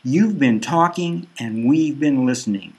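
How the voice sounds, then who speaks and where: A man's voice: mid-range voice, lively intonation, unhurried, a little mellow, husky. A man voicing glib, solemn television news readers with a repetitive, portentous delivery. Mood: grave, portentous.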